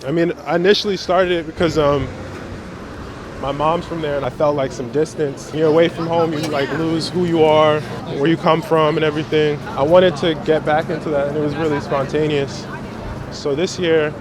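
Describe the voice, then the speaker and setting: A man's voice: fairly high pitch, flat delivery, normal speed, crowd noise. A man, giving casual, reflective and slangy interview answers as a photographer. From Brooklyn, New York. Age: 20s. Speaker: street photographer being interviewed. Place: outdoors on a city street. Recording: noisy outdoor city street with traffic. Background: urban street ambience, vehicles.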